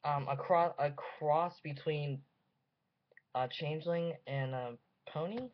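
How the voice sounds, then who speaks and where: Halting young man on a dull webcam mic. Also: fairly high pitch, steady tone. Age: young adult. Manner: halting, repetitive, thinking aloud. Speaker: reaction video host. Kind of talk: casual off-the-cuff commentary. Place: small dim room indoors. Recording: slightly dull webcam audio.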